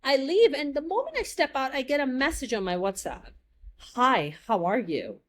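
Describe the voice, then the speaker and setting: A young adult American woman telling stories in a casual chat, lively and blunt, joking and swearing freely. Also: sits high, moderate pace. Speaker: guest.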